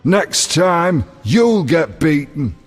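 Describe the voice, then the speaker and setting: High-voiced, jeering prison brawler. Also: male voice, sing-song, drawn-out words, husky, faint music behind, exaggerated voice. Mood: belligerent. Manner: jeering, cocky. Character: taunting, belligerent male prison brawler. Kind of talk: video game voice acting.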